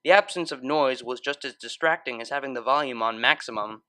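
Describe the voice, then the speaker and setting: A man's voice: mid-range voice, a little fast, bright, forceful tone, dry recording, studio quality. A man narrating a scripted story in a dramatic, literary style. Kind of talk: expressive scripted fan fiction narration.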